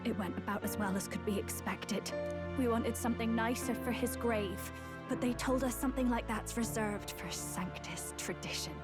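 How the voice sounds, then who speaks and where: A woman's voice: on the high side, normal speed, over loud music, natural voice. A woman playing a composed, kindly young noblewoman in a video game, speaking politely and with measure. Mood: gentle sorrow.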